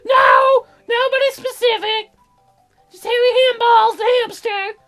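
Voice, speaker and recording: A man voicing a dim, crude, excitable teenage girl who gushes and blurts in a childlike way for a game. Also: extremely high pitch, a little slow, faint music behind, pitched above the performer's own voice, exaggerated voice. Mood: flustered, loud.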